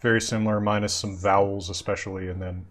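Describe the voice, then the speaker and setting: A man hosting a casual video call, patiently explaining grammar and reading old sentences aloud slowly. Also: on the low side, flat delivery. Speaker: host.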